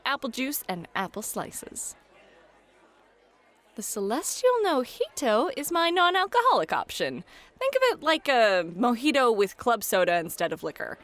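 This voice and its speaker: A woman playing a playful, teasing fairy hostess and game master in a flirty ASMR roleplay, talking straight to the listener. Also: fairly high pitch. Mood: cheerful. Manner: flirty, teasing, excitable, addresses listener directly.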